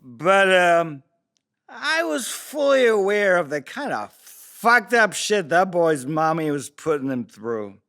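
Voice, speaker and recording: A man playing a crude, bitter, drunken father making excuses in a halting, rambling table-read monologue. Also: sits high, big pitch swings, a bit bright, full character voice. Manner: slurred, halting drunken delivery with rambling asides.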